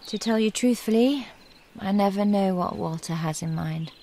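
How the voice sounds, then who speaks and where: A woman's voice: mid-range voice, moderate pace, dark tone, a little hiss or hum, natural voice. An actress playing an earnest, wistful young married woman in a radio drama. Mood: wistful, reflective. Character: wistful, earnest young married Englishwoman among convent nuns.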